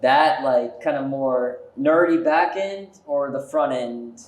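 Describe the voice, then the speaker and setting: A man's voice: medium pitch, takes it slowly, darkish tone, reverberant, rough recording. A man conducting a casual interview, speaking informally and peppering his questions with "like". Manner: informal, filler-heavy with frequent "like".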